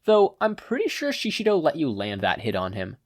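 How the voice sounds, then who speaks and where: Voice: medium pitch, huge pitch swings, a little fast, no echo, studio quality, pitched above the performer's own voice. Teasing, knowing inner conscience, voiced by a reader. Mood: wry. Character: wry, teasing inner conscience of a teenage boy.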